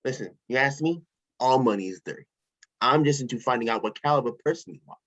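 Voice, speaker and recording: A man's voice: medium pitch, animated, quick pace, fairly bright tone. A man playing a breezy, casual billionaire. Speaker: table read actor. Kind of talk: amateur online table read. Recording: dull lo-fi home mic.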